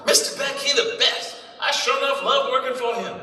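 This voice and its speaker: Eager young mechanic played by a man, high and bright, simple grammar, heard from across a roomy space. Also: moderate pace, a little hiss or hum, heavily acted. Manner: folksy broken grammar. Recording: roomy echo from a distance.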